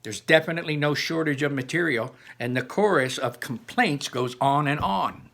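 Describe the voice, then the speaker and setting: A man reading a children's novel aloud like a teacher, with animated, dramatic emphasis and explanatory asides to listeners. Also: fairly high pitch, normal speed, vocal fry.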